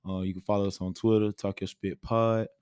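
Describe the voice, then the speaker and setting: Low, even-toned American male podcast host. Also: brisk, clean tone, dry recording, clean studio sound. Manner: informal, slangy. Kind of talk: casual podcast chat.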